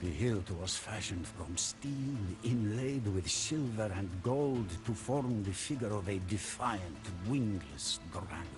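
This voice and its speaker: A man playing a soldier who recounts a mysterious tale as a solemn, theatrical, measured game narrator. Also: fairly low pitch, expressive. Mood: solemn, wondering. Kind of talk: dramatic scripted storytelling. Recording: studio narration under prominent music.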